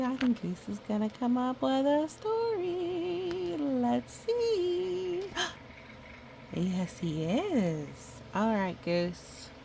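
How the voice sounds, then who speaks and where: A woman reading a children's picture book aloud, expressive and exclaiming, with chatty asides to young listeners. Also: mid-range voice, vocal fry, a little hiss or hum.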